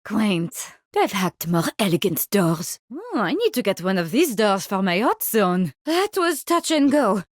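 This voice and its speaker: A woman's voice: medium pitch, very animated, a little slow, few pauses, no echo, studio quality. A woman playing an eccentric, haughty mycologist with a French accent, theatrical and gleefully morbid, in video game voice lines. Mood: condescending. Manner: theatrical, savoring, condescending.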